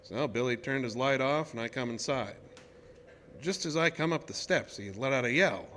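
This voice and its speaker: An actor playing a plain-spoken, shaken oil-rig worker who tells his tale in a folksy, halting way in a radio horror drama. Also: medium pitch, fairly bright tone, slight background noise, natural voice. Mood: matter-of-fact. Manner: folksy, colloquial, halting storytelling.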